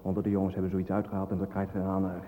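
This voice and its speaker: A man plays a callous young ex-soldier in a radio drama. His voice is dark and fast, coarse and matter-of-fact, ringing with heavy echo. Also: deep voice, completely flat delivery, close to an everyday voice. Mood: callous boasting. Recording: long echoing reverb.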